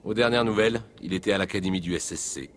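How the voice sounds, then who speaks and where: A determined alien officer from a video game, voiced by a man, speaks confidently in a low-pitched voice. The delivery is matter-of-fact, with faint ambient noise behind it. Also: quick pace, natural voice. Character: keen, determined male alien Turian police officer.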